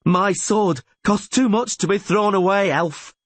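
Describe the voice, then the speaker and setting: A man voicing a fierce, defiant female mercenary warrior in an audiobook story, with forceful, shouted delivery. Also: fairly high pitch, normal speed, husky, no echo, pitched above the performer's own voice. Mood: savage defiance.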